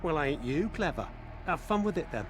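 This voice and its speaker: A man's voice: fairly high pitch, very animated, moderate pace, background noise. An actor playing a sly, know-it-all male petty crook in a video game, with folksy uneducated grammar. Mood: sly, needling. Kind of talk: video game character acting. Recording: echoey, under loud game music.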